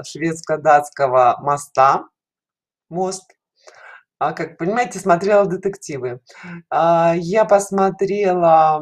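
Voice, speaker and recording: A woman's voice: low-pitched, a little mellow. A middle-aged woman chatting casually as a guest on an online show, with a self-ironic, giggly manner. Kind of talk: casual conversational interview. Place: indoor room, on a video call. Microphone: headset.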